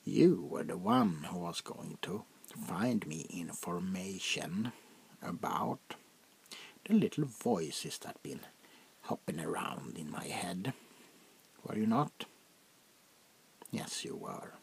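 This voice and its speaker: A centuries-old vampire prince, acted by a man, murmurs in a very low, creaky half-whisper. He is haughty and quietly menacing, drawing out each command with slow condescension. It is a soft ASMR roleplay with audible noise behind the voice. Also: animated, full character voice. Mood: condescending, menacing. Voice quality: gravelly, breathy half-whisper. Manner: slow, deliberate, condescending, drawn-out pauses.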